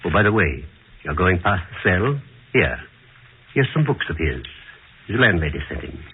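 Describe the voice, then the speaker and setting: A kindly, dutiful prison warden with a lowish, very expressive voice. He speaks in an avuncular, matter-of-fact way in old radio drama style. The broadcast sound is narrow and faintly noisy. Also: moderate pace. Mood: resigned sympathy. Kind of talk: 1940s radio drama acting. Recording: noisy, narrow-band 1940s radio recording.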